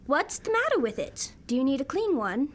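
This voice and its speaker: A woman's voice: sits high, sing-song, brisk, background noise. A woman acting an affectionate, playful and witty wife in a radio comedy. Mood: affectionate, teasing. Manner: playful, doting banter.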